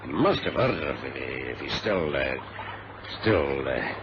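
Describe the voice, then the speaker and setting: An actor playing a sensible, loyal adventurer and narrator in an old radio drama, speaking in a measured, old-fashioned way. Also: male voice, a bass voice, animated, heavily acted. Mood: worried. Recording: muffled, noisy vintage radio recording.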